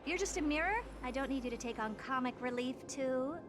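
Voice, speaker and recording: A woman's voice: high voice, animated, brisk, background noise. An actress voicing a self-assured genius woman scientist in a video game, with a haughty, offhand, dryly dismissive manner. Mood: dry, unimpressed.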